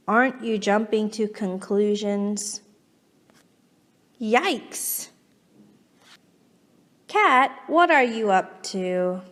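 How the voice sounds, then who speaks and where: A woman reads a picture book to children very slowly and deliberately, with long reverb around her voice. She coaxes and reacts with exaggerated feeling, as if talking straight to the character. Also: a little high. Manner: animated, coaxing, exaggerated reactions. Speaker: children's storybook reader.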